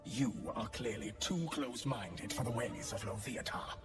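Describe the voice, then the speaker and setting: An actor playing a serene, sinister priest devoted to pain in a video game, measured, unctuous and softly intense. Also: male voice, mid-range voice, distant mic, over loud music, natural voice. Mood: coldly dismissive.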